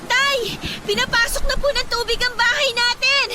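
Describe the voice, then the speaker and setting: A very high, plaintive teenage girl in a radio drama. She sounds anxious and protesting, with clear storm-like noise around her. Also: steady tone, moderate pace. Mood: alarmed. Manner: plaintive, whining complaints.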